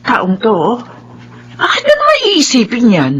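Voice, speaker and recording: An actress with a Filipino accent playing a caring, practical, gently scolding older village wife in a radio drama. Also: female voice, a little high, wildly swooping pitch, moderate pace, slightly rough, heavily acted.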